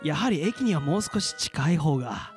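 A man playing a young husband-to-be, a courteous straight man who is quick to object, in a comic radio drama. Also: fairly high pitch, expressive, soft background music, close to an everyday voice. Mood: polite, bashful.

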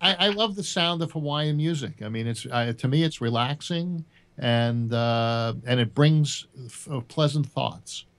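A man's voice: mid-range voice, unhurried. A man chatting on a panel call, hesitant and full of fillers. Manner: hesitant, full of "uh" and "you know". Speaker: panel guest. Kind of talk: casual chat.

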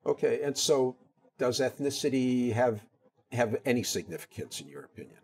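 A middle-aged man hosts a public affairs interview in a TV studio, his pitch swinging widely as he talks. He stumbles over fillers when he asks his questions, and the lapel mic keeps his voice close. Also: very animated, normal speed, a little mellow. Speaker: host.

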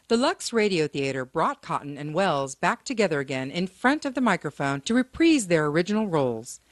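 Woman announcer with sweeping, animated intonation. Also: on the low side, no echo, clean studio sound. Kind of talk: scripted introduction of a radio drama.

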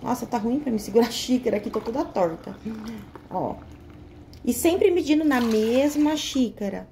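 Brazilian woman, high-pitched, friendly home-cook vlogger talking to a phone camera in her kitchen, faint background hiss. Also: lively intonation, normal speed, creaky, mellow. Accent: Brazilian Portuguese. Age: young adult. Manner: chatty, explains step by step with frequent "tá?" check-ins. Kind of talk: casual cooking tutorial.

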